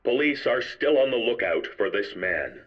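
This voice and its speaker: A man playing a grave news anchor in an audio drama, announcing in a formal, measured way. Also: on the low side, big pitch swings, dull audio. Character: grave male news anchor reporting on a killer. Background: music.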